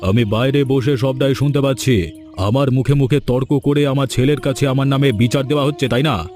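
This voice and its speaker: A stern old father from a fairy-tale cartoon, acted by a man, with a dark, mellow voice. He speaks in a commanding, accusatory way, as head of the household, and music plays behind him. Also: mid-range voice, a little fast, close to an everyday voice. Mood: angry, scolding. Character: stern, quarrelsome old father, later remorseful.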